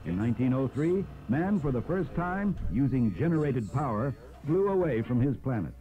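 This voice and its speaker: A man's voice: medium pitch, animated, normal speed, mellow. A man reading a scripted documentary narration in a formal, oratorical manner. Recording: dull lo-fi cassette with music behind. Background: music.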